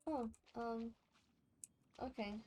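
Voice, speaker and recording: High-pitched American woman streaming casually. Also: fairly even intonation, extremely slow, dark tone, a little hiss or hum. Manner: chatty, slangy. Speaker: game streamer. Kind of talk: casual livestream chat with viewers.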